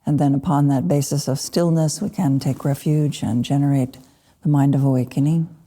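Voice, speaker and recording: A woman teaching a guided meditation, speaking in short, unhurried phrases with gentle pauses. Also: low voice, steady tone. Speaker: Buddhist meditation teacher (lama).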